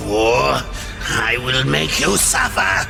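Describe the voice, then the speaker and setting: Spiteful, sneering little watcher creature. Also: male voice, mid-range voice, very animated, takes it slowly, vocal fry, loud music behind the voice, full character voice, poor audio. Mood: threatening, spiteful. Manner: snide, threatening, indignant. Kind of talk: video game character acting.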